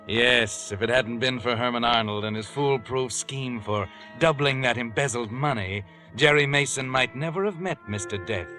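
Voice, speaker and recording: Guy doing an ominous old-time radio mystery narrator, sinister and knowing, mid-pitched, music underneath. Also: normal speed, bright, forceful tone, lightly acted. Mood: knowing, grim.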